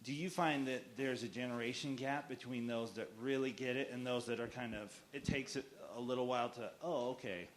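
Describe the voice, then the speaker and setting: Audience guy w a loud voice, rambles through his question, mid pitch, a bit of room hum. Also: steady tone, a little fast, few pauses. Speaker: audience member asking a question.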